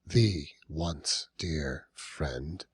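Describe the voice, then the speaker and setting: A man narrating a classic novel as an audiobook, in a measured, dramatic storytelling manner with deliberate pauses. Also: very deep voice, slightly rough, no echo, studio quality. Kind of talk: scripted literary narration.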